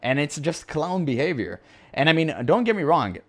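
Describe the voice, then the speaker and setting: A man hosting a reaction video in casual commentary, with a high-pitched voice and a blunt, mocking way of talking. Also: very animated, normal speed, a bit bright. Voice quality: high-pitched voice, by his own account. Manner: blunt and mocking, laughs often.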